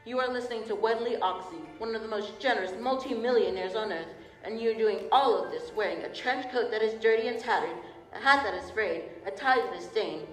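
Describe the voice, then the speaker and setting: A woman's voice: a little high, a bit bright, natural voice. Formal family lawyer played by a woman, echoey hall. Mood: smooth, proper. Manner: formal, measured, proper. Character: formal, fussy male family lawyer reading a will. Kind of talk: radio drama acting. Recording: echoey hall, faint music behind.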